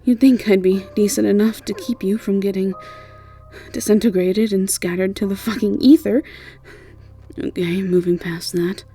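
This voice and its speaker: A woman's voice: mid-range voice, steady tone, normal speed, dark tone, husky, faint music behind. A woman voicing a caring, guilt-ridden young woman mentor in an audio roleplay, earnest and apologetic. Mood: self-doubting, upset.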